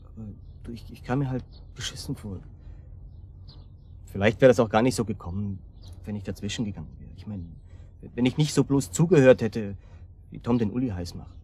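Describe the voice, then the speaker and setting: An actor playing a self-doubting young man who speaks haltingly in naturalistic radio drama. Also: lowish voice, mellow, close to an everyday voice. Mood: guilty, hesitant. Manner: halting, repeating himself. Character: Bernie, hesitant, self-doubting young man burdened by guilt. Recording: noticeable background noise and ambience.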